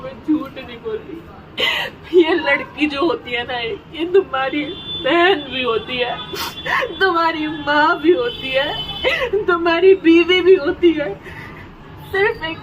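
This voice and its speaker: Young woman, very high pitch, tearful and pleading, noisy location sound. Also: highs cut off. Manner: pleading, repeats anguished questions. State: crying, distraught, sobbing. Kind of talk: emotional outpouring to camera and to God.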